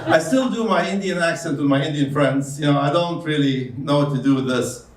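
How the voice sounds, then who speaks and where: Male stand-up comic with a foreign accent, slightly high voice, deadpan and halting, miked in a roomy club. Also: very noisy. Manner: deadpan storytelling with hesitant fillers. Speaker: stand-up comedian. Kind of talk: stand-up comedy set. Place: comedy club stage. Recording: roomy club sound from a distance. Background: audience laughter.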